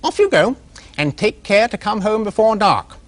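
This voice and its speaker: A man voicing a caring mother pig in a children's storybook, gentle and motherly. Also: high-pitched, big pitch swings, normal speed, voice pushed up.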